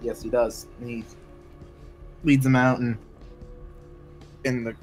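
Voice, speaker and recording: A man talking casually and a bit haltingly as a player in a tabletop roleplay game. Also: mid-range voice, flat delivery, rough recording. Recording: faint music behind. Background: music.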